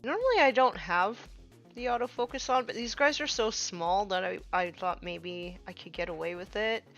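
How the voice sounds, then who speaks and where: A woman's voice: mid-range voice, animated, moderate pace, a bit bright, highs cut off, faint music behind. A woman in her thirties chatting casually while painting miniatures on a live stream, with many fillers, sometimes trailing off to a murmur.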